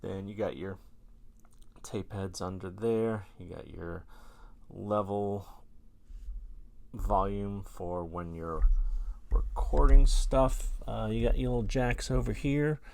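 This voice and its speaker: A man's voice: lowish voice, steady tone, slow pace, gravelly, long pauses, a little mellow. A man casually demonstrating gear as host, rambling and jokey in a colloquial, self-mocking way. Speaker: host of a vintage gear video channel.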